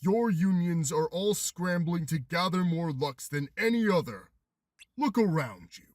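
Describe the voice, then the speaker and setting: Male actor as ruthless warrior master, solemn and commanding, slow delivery, swooping pitch, game fandub. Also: lively intonation, darkish tone, dry recording, studio quality. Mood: cold, accusing. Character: ruthless, imperious male Keyblade master, power-hungry warlord. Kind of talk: anime-style game fandub acting.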